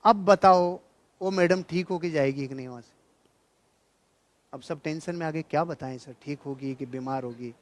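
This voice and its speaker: A man's voice: on the high side, moderate pace. A man speaking as a motivational trainer, emphatic and colloquial, repeating key phrases and telling stories in a joking way. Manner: jocular, colloquial storytelling. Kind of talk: motivational seminar speech with anecdotes and humour.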